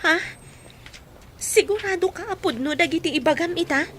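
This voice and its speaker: A woman playing a bright-voiced eager young graduate. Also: very high voice, normal speed, a little hiss or hum. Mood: eager, pleading. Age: young adult.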